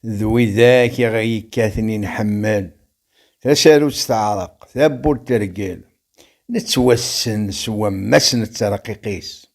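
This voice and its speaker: A man with a Kabyle accent reciting rhymed verse as a poet, in a measured, declamatory delivery. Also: fairly low pitch.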